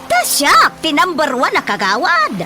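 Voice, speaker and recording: A woman with a Filipino accent playing a proud, chatty village councilor in a radio comedy-drama, self-important and given to drawn-out, emphatic exclamations. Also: high voice, wildly swooping pitch, over loud music, heavily acted. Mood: assertive, pompous.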